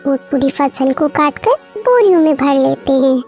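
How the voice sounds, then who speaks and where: A woman's voice: high-pitched, lively intonation, measured pace, a little mellow, faint music behind. A woman narrating a scripted moral story as its storyteller.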